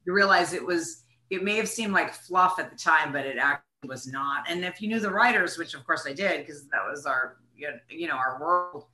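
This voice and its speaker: A woman's voice: low voice, moderate pace, hardly any pauses, bright, forceful tone, reverberant, poor audio. An older woman, an actress being interviewed, casually reminiscing in conversation.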